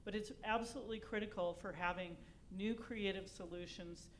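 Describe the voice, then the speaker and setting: A woman's voice: mid-range voice, steady tone, normal speed, a little hiss or hum. A woman, a university research leader, speaking emphatically and upbeat on a panel. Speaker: research vice chancellor on a panel. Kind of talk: unscripted panel remarks.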